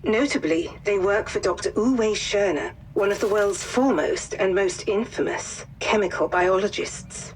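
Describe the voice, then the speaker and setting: An actress playing a formal wartime intelligence officer, delivering a measured, precise mission briefing. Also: female voice, mid-range voice, a little hiss or hum, lightly acted. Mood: formal, grave. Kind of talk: scripted mission briefing narration.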